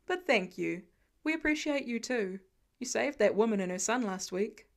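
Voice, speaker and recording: A woman voicing a calm, fatherly middle-aged male lieutenant in a narrated story, measured and polite. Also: on the high side. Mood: appreciative.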